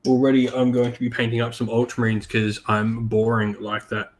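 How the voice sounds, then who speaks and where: Croaky laid-back man streaming. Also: mid-range voice, monotone, fairly quick. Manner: laid-back, many ums, greets chat viewers by name. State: slightly croaky from illness. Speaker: hobby stream host. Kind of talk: casual live-stream chat while painting miniatures.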